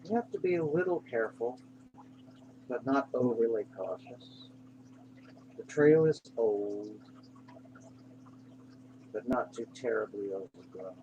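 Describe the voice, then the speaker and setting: A man narrating a guided meditation in an unhurried, reassuring manner, pausing between short phrases. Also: mid-range voice, lively intonation, dull audio. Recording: clear background hum and noise.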